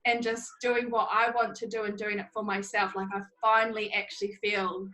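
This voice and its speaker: Young NZ woman, relaxed interview guest, middle-pitched and talkative. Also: normal speed, low-quality recording. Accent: New Zealand. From New Zealand. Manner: chatty, laughs often, many fillers. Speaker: interview guest, social media manager. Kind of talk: casual interview talk.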